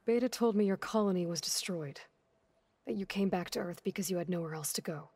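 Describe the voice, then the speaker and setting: A woman's voice: lowish voice, brisk, a little mellow. An actress playing a blunt, protective young woman in a video game cutscene, direct and challenging as she questions. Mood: probing, guarded.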